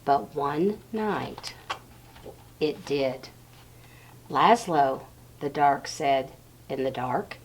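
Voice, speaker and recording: A woman reading a storybook aloud to young children in short phrases with pauses, speaking directly to her listeners. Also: rumbling low, lively intonation, a little mellow, slight background noise.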